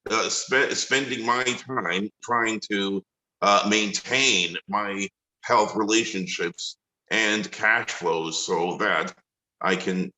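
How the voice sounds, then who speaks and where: A deep-voiced man speaks very slowly on a video call, hesitant and searching for his words. His tone is bright and tense, and the room around him sounds echoey. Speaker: coaching participant.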